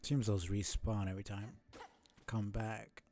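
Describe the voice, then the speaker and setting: A man's voice: on the low side, little pitch movement, a little slow, mellow, a little hiss or hum. A man streaming a game live, thinking aloud casually, often correcting himself and swearing mildly. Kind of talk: casual live gameplay commentary.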